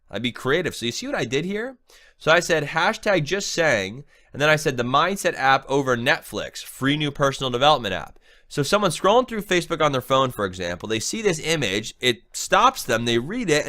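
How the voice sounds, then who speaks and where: A man's voice: mid-range voice, sharp tone. A young man with a cold, sounding a little nasal, giving a casual, chatty screen-share tutorial and often checking in with "right?". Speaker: online marketing trainer.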